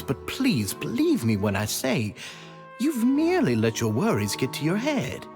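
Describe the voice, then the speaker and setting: Slimy polite schemer man, voice swings up and down a lot, game dialogue over music. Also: medium pitch. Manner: unctuous, elaborately polite, theatrical. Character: smooth-talking, obsequious, scheming society leader, adult man. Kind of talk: video game voice acting. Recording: background music.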